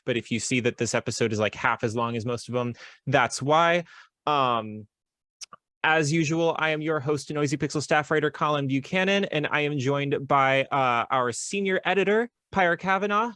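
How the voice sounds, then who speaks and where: A young man hosting a casual podcast, relaxed and chatty with lots of filler words. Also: fairly high pitch, moderate pace, no echo, clean studio sound. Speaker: podcast host.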